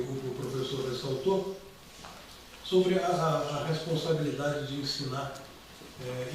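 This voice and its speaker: A man's voice: a little high, noisy background. Brazilian guy rambling thru panel remarks, lots of uhs, super echoey hall, mic far off. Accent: Brazilian Portuguese. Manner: discursive, many hesitations and asides, recites verse. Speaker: panel speaker. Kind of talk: formal conference remarks. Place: large conference hall.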